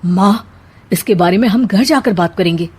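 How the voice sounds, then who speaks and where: A woman's voice: medium pitch, moderate pace, a little mellow, slightly rough, close to an everyday voice. A woman voicing a patient young husband in a cartoon story, soothing and mildly exasperated. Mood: reassuring. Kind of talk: Hindi cartoon story dialogue.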